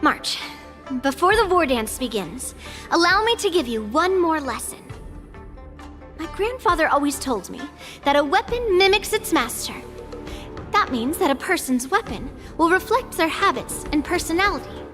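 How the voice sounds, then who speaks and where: A woman's voice: very high-pitched, sing-song, moderate pace, a bit bright, in a large hall, faint music behind, exaggerated voice. A woman voicing a confident, cocky young swordswoman in a video game, speaking like a mentor. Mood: upbeat. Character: confident young swordswoman, boastful but fair-minded mentor.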